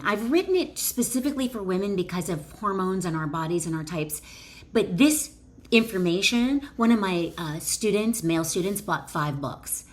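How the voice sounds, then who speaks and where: A woman author and wellness educator reading her book aloud with informal asides, deliberate and emphatic, pausing between short phrases. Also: lowish voice.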